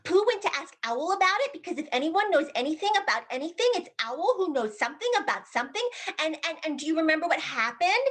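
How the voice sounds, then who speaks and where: Very high-pitched, excitable little piglet. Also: female voice, fast, hardly any pauses. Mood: earnest, worried. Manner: repetitive, eager, childlike chatter. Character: excitable, naive little piglet, a plush toy come alive. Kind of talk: dramatized stage play reading.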